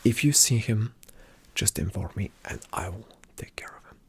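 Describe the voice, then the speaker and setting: Male ASMR performer as a calm, attentive spy agent; lowish, hushed, breathy whisper, unhurried instructional delivery. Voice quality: soft, breathy whisper with some creak. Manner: slow, hushed, deliberate instruction.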